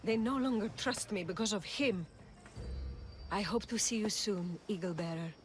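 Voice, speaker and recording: A woman playing a composed, earnest village doctor in a video game drama, measured and polite in manner. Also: mid-range voice, soft background music, natural voice. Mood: cool, reproachful.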